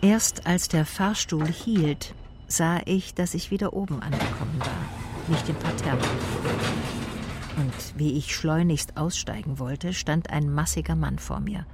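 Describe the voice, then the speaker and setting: An actress playing a wary, dryly observant private detective, narrating and speaking in a radio drama in a matter-of-fact manner. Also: female voice, sits low, unhurried, darkish tone, a little hiss or hum. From Swabia, Germany. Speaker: first-person narrator and lead character.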